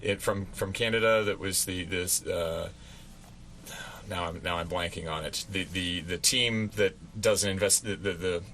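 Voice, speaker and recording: A man's voice: low voice, a little slow, pushed and bright. A man chatting informally and in a rambling way as a guest in a casual radio interview.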